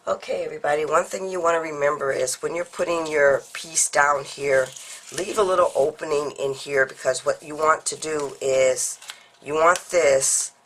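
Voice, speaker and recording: A congested woman with a low, stuffy voice walks viewers through a papercraft project step by step. She chats easily and explains each move as she works. A faint hiss of noise sits under her voice. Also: fairly even intonation, normal speed, fairly bright tone. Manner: chatty, explains each step while working. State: congested from a cold. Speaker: papercraft tutorial host. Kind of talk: step-by-step craft demonstration.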